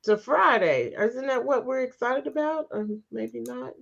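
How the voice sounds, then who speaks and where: A woman's voice: high-pitched, expressive, measured pace, vocal fry. A woman hosting a podcast in casual chat, teasing and chatty with her guests. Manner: chatty, teasing, laughs often. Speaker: podcast host. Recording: slightly muffled with faint hiss.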